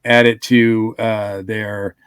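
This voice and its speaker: A man chatting casually as a podcast panelist, often halting and doubling back on his words. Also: lowish voice, little pitch movement, a bit bright, clear, resonant tone, no room sound. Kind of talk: casual chat reviewing audio dramas.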